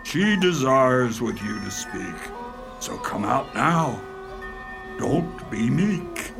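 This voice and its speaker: A man playing a bombastic sham necromancer in a radio play, chanting theatrical spells in a grandiose, gravelly voice. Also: medium pitch, big pitch swings, takes it slowly, exaggerated voice. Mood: incanting, dramatic. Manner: grandiose, incantatory, rhyming. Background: music.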